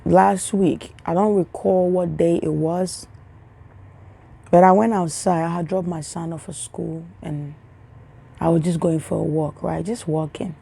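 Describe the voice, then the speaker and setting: A woman from Africa, a spiritual healer, talks casually to her audience in a rambling way, repeating words and often dropping into hushed tones. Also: lowish voice, fairly even intonation, measured pace, gravelly, husky. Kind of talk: casual spiritual talk to camera.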